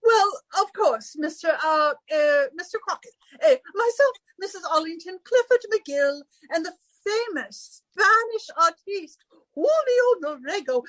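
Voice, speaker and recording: A woman's voice: very high-pitched, lively intonation, measured pace, a bit bright, dry recording, exaggerated voice, low-quality recording. A woman playing a vain, flirtatious wealthy society hostess, gushing and affected, in 1940s radio style. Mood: grandly excited. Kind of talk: recreated 1940s radio comedy-drama acting.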